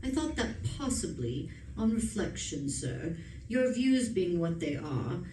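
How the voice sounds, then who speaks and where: A woman playing a composed, formal male valet in a radio play, speaking in a measured, politely deferential way. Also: on the low side, very animated, mellow. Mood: calmly deferential. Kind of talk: stage radio play acting. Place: live performance in a room, distant mic. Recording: roomy, distant, faint noise.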